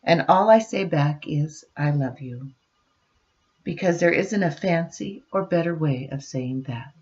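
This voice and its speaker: A woman's voice: fairly low pitch, moderate pace. An older woman reading a picture book aloud to her grandchildren, chatting to them expressively as she goes. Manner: expressive, addressing children directly. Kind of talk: read-aloud storytelling with chatty asides. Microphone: computer webcam microphone. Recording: dull, lo-fi home webcam sound.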